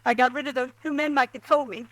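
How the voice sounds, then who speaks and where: An actress playing a frightened frontier wife held at gunpoint, in 1950s radio acting. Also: female voice, steady tone, fast talker, a bit bright, low-quality recording. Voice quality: high, pleading.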